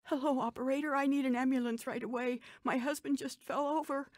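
An actress playing an anxious, caring wife in a radio drama, with a husky voice. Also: female voice, high-pitched, fairly even intonation, brisk, a little mellow, no room sound, studio quality, natural voice. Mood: panicked.

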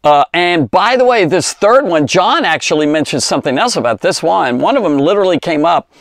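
An American man in his fifties teaches in a conversational, rambling way, circling back to correct himself. His voice sits a little high for a man. The sound is dry and close, like a lapel mic in a small study. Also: expressive, a little fast, no echo. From Cincinnati, United States. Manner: rambling, conversational, with false starts and self-corrections. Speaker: Bible teacher. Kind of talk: informal Bible lesson for kids. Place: small indoor study lined with bookshelves. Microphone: lapel mic clipped at the collar.

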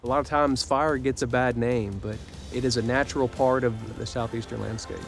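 A man's voice: mid-range voice, fairly even intonation, normal speed, faint music behind. A man, a wildlife biologist, enthusiastically explaining young pine forests and wildlife habitat while outdoors in a pine forest.

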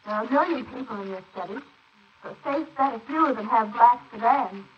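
An actress playing a brazen, impatient, scheming bride in a 1940s radio drama style on an old recording. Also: female voice, on the high side, brisk, lightly acted. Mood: dismissive, impatient. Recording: noisy muffled 1948 wire recording of transcription discs.

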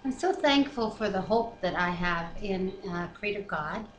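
A woman's voice: medium pitch, expressive, moderate pace. A middle-aged to older woman co-hosting a Bible reading with chatty, casual devotional talk, picked up by a webcam in a living room. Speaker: co-host of a Bible reading series. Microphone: webcam microphone at arm's length. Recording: roomy webcam audio with faint noise.

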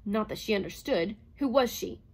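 A woman's voice: medium pitch, normal speed, slightly rough, lightly acted. A woman reading a bitter lonely woman psychologist. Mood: hard. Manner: bitter, tense, breathless. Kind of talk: audiobook character reading.

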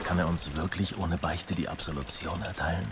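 A man's voice: deep voice, steady tone, brisk, noisy background, lightly acted. A man playing an earnest, loyal crusader comrade in a dramatic game cutscene. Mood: urgent. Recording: loud music under the voice.

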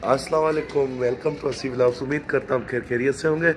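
A man in his thirties with a hoarse throat, chatting casually to viewers as a family vlog host. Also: medium pitch, steady tone, fast, soft background music. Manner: chatty, teasing, addresses viewers directly. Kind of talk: casual vlog talk to camera. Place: at home, indoors. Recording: noisy home recording.

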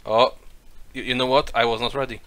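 A man's voice: mid-range voice, steady tone, fairly quick, fairly bright tone. A young man chatting with a teammate during a game, joking and stammering a little. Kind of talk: casual gaming chat with friends.

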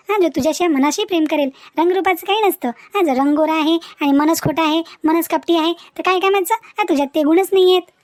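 A woman's voice: extremely high pitch, darkish tone, dry recording. A woman playing an outspoken, lecturing young niece in a family cartoon. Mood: consoling. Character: outspoken young niece scolding dowry-seeking elders. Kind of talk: cartoon family drama dialogue.

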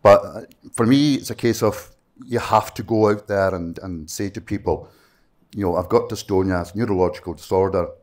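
A man's voice: deep voice, brisk, darkish tone. A middle-aged Scottish man, a native Gaelic speaker, talking earnestly on a panel with many fillers into a handheld mic in a conference room. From Scottish Western Isles. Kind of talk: panel discussion talk.

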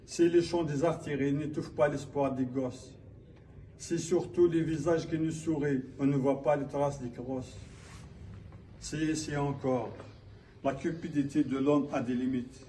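A man from Kabylia in Algeria declaiming his own poetry in a solemn, measured manner. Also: fairly high pitch, steady tone, mellow. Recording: distant mic, faint background noise.

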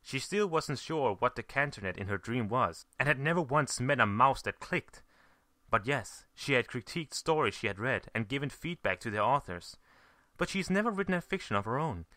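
A man's voice: mid-range voice, expressive, normal speed, pushed and bright, dry recording, studio quality. A man reading a scripted story aloud as narrator. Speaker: fan fiction reader, narrator.

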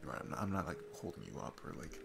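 A man's voice: rumbling low, big pitch swings, fast talker, vocal fry, background noise, full character voice. A man playing a sweet, nervous single dad in an intimate ASMR roleplay, rambling and hesitant in a casual, self-deprecating way. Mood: earnest, awkward.

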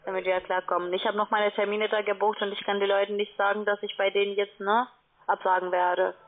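A woman's voice: mid-range voice, level tone, a little fast. A woman, a German speaker, talking formally as a financial adviser on a sales call over the telephone. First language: not German. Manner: formal, non-native phrasing. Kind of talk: phone sales conversation. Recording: tinny narrowband phone line.

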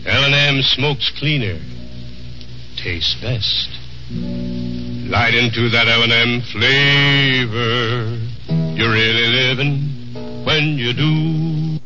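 Guy doing an old radio ad, music loud behind him, muffled recording. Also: medium pitch, extremely slow, pauses often. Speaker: commercial announcer. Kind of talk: 1950s radio advertisement.

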